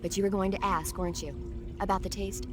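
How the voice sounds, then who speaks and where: A woman's voice: mid-range voice, fairly even intonation, fast, lightly acted. A woman playing an earnest, bookish young medic who gives precise explanations over the radio in a video game. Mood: concerned, informative.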